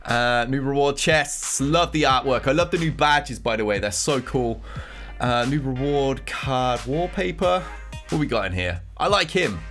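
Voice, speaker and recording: A man's voice: medium pitch, animated, a little slow, fairly bright tone, faint music behind. Nasal-sounding man with a cold. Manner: rambling, thinking aloud while reacting. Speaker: gaming content creator. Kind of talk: casual commentary on game news.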